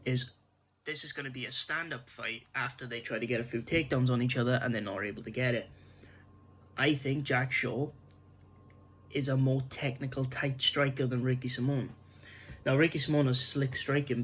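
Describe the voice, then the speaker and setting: A man's voice: medium pitch, fairly bright tone. A young Welsh man talking casually through his fight predictions and betting tips, rambling with fillers and restarts. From Wales. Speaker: MMA betting tipster.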